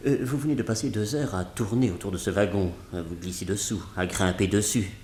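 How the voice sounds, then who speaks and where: An actor playing a nervous, excitable male museum director in a radio drama, often exclaiming in worry. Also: on the low side, fairly quick, echoey room, slight background noise, lightly acted. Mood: worried.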